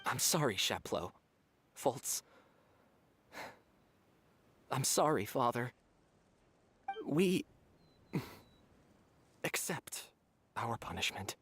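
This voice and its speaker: A resigned young man in a video game. He speaks slowly and haltingly at a middle pitch, somber and honest, over slight noise. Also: very animated, a bit bright, full character voice. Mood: resigned, contrite. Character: contrite young orphan agent accepting punishment, resigned and honest.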